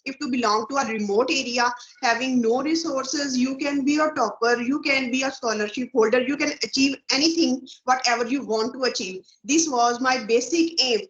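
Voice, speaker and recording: A woman's voice: high-pitched, normal speed. A woman speaking as an interview guest in a formal, encouraging, speech-like way. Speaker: guest YouTuber. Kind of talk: interview answers. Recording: muffled, roomy audio.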